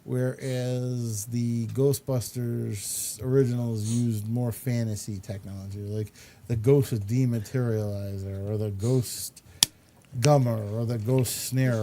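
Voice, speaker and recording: Male, medium pitch, rambling and opinionated casual talker, exasperated asides, some background room noise. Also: fairly even intonation, a little slow, mellow. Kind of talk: casual chat about films and comics.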